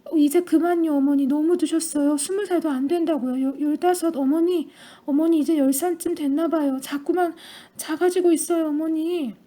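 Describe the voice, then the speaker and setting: A woman doing a shrill, panicky mother. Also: steady tone, normal speed, hardly any pauses, warm tone, lightly acted. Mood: panicked. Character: anxious middle-aged mother.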